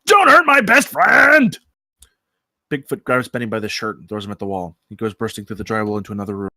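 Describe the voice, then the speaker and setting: A man's voice: fairly low pitch, fairly even intonation, rapid-fire, fairly bright tone, no echo. A man reading stage directions as scripted narration at a comedy screenplay table read.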